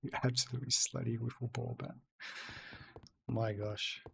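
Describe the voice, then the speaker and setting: A man's voice: medium pitch, little pitch movement, moderate pace, vocal fry, a bit bright. A man streaming a video game, chatting casually with viewers and reading their messages aloud. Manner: chatty, reads and answers chat aloud. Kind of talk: casual let's-play commentary.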